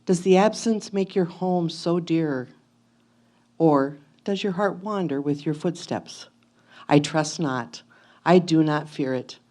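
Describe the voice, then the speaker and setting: Woman reading as an earnest low-voiced 1850s pioneer wife. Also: slow pace, mellow. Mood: tender, devout. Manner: earnest, tender, old-fashioned formal wording. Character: devoted, anxious 1850s pioneer wife writing to her husband. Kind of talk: dramatic reading of an old letter, reader's theatre.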